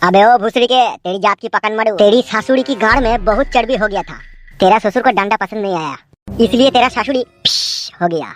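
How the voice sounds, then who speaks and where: A woman's voice: medium pitch, fast talker, soft background music, lightly acted. A woman playing a swaggering male political henchman in a comic cartoon, coarse, taunting and threatening. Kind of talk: comic village cartoon dialogue.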